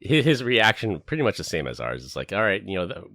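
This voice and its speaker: Male podcast host, very low and animated, laughs a lot, casual delivery. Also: fast. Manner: laughs often, jokey and animated.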